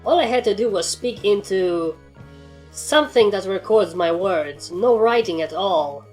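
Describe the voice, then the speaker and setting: A woman's voice: mid-range voice, animated, a little slow, a little mellow, soft background music, lightly acted. A woman voicing a blunt, battle-hardened pony trainer in a story, with a dry, sarcastic way of talking. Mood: dry, grumbling.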